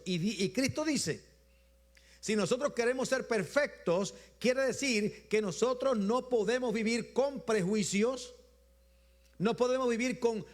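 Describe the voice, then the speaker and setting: A man with a Puerto Rican accent preaching a Sunday sermon in a rhythmic style, repeating phrases and letting his voice drop low at the end of each point. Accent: Puerto Rican Spanish. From Puerto Rico. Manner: rhythmic repetition, drops low at phrase ends, slows for emphasis. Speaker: bishop preaching.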